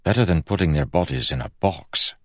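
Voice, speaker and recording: Man as a calm, deep-voiced skeptical magician. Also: brisk, dry recording, clean studio sound. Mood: matter-of-fact. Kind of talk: audiobook character acting.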